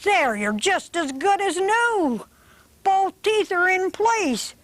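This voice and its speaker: A woman's voice: very high voice, very animated, slow, dry recording, voice pushed up, full character voice. Woman doing a cheerful kindly dentist. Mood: reassuring. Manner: bright, reassuring bedside talk.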